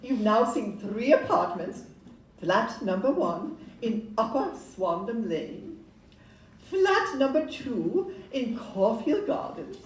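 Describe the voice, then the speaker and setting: A woman acting a polite Victorian estate agent in a stage audio play, courteous, deliberate and salesmanlike. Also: on the high side, very animated. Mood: businesslike. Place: live indoor performance in a club room. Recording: roomy distant live recording, background noise.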